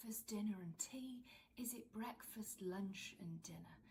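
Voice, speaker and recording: A woman's voice: mid-range voice, a little slow, gravelly, a little mellow, slight background noise. A woman with a British accent, chattily demonstrating regional British and Irish accents as a video presenter.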